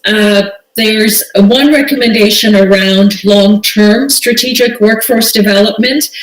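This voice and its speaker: Middle-aged woman panelist, home video call, earnest with frequent fillers, mid pitch. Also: recorded from a distance. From Tanzania. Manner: earnest, many fillers and restarts. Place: home room with bookshelves. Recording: roomy video call audio, set back from mic.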